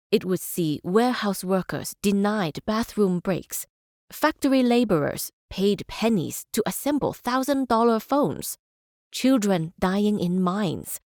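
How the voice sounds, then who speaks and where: A dry, close recording of a thoughtful female chancellor in a strategy game. Her mid-pitched voice is formal and deliberate, like a scholar lecturing. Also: animated, a little mellow, no room sound. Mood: grave, questioning. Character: measured, idealistic female scholar-chancellor and stateswoman. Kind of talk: scripted game character speeches and lectures.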